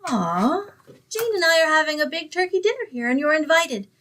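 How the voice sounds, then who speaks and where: A woman's voice: high voice, sing-song, brisk, bright, forceful tone, exaggerated voice. A woman playing a sweet, naive, scatterbrained young single woman in old-time radio comedy style. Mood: sweet, credulous. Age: young adult. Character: sweet, dim-witted, literal-minded young single woman.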